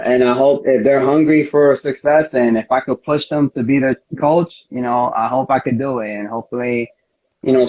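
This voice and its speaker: Muffled lo-fi sound, man, medium pitch, casual interview guest, earnest rambling talk. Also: darkish tone. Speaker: MMA fighter, interview guest. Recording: dull lo-fi audio.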